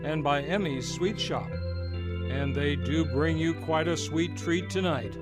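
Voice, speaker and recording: A man's voice: fairly high pitch, measured pace. A man, hosting and introducing an old-time radio hour in a showman announcer manner. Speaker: radio show host. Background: music.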